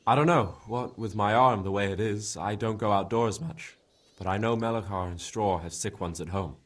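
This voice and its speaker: An actor playing a plainspoken, forthcoming medieval villager in a video game, with a husky voice. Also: male voice, lowish voice, normal speed, natural voice. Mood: open, frank.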